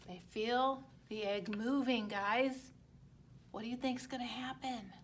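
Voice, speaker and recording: A woman's voice: on the high side, steady tone, slow pace, a little hiss or hum. A woman teacher narrating a picture book expressively as she reads aloud to children.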